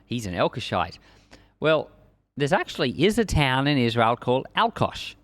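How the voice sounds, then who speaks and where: A man's voice: medium pitch, big pitch swings, normal speed, fairly bright tone, no echo. A man preaching and teaching from the Bible in an emphatic, conversational way. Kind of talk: Bible reading and informal expository sermon.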